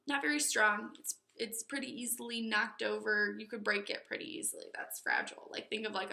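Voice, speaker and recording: A woman reading a children's storybook aloud in an expressive, teacherly way, with explanatory asides. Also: fairly high pitch, vocal fry. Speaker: storybook reader. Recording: echoey room.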